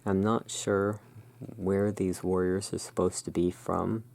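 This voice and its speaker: An ASMR host in a gothic shopkeeper roleplay, describing pictures in a hushed, unhurried, musing way. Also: deep voice, little pitch movement, vocal fry. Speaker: host of a roleplay as a curiosity emporium owner. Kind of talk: ASMR roleplay, describing tarot card art.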